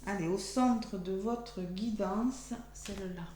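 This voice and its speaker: Hoarse French woman reading tarot cards. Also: medium pitch, slow, mellow. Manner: chatty, thinks aloud while drawing cards. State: losing her voice, talking softly. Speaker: tarot card reader. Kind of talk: weekly astrology card reading. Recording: echoey room with faint hum.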